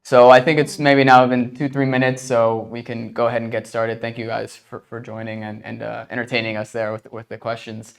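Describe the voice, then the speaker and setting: A man's voice: medium pitch, little pitch movement, fairly quick, creaky, few pauses. A man from the United States with an American accent, hosting an informal webinar in a conversational, hesitant way. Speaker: webinar host.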